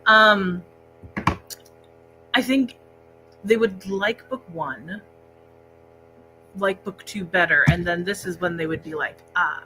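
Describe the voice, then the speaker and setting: A woman's voice: on the low side, slow, pauses often, pushed and bright, soft background music, rough recording. A woman co-hosting a casual book discussion livestream, talking conversationally indoors. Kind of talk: casual chat about books. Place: indoor library room, speaking into a laptop set-up.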